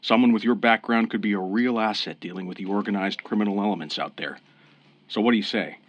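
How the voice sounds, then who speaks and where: A man as a low-voiced, fair-minded cop. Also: fast talker, lightly acted. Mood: encouraging. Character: fair-minded, encouraging New York police officer. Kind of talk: radio drama acting.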